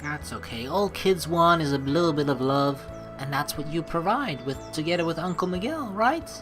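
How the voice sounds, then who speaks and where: A woman hosting a let's play, reading game narration and dialogue aloud with playful, expressive commentary. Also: low-pitched, moderate pace. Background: game music.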